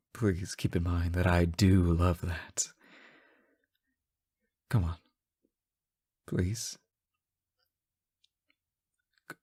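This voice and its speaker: A fallen angel acted by a man speaks in a fairly low voice at a very slow pace. He is anxious and devoted, halting and stammering as he pleads with the one he adores, in the manner of an emotional audio drama. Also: darkish tone, breathy edge, heavily acted. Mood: coaxing, needy. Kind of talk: emotional roleplay audio acting addressed to the listener.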